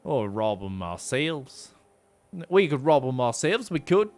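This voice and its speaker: A man voicing a scheming young peasant yokel with a deep, drawling put-on Southern accent, folksy in his talk. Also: sing-song, a little slow, a little mellow. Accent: put-on American Southern drawl.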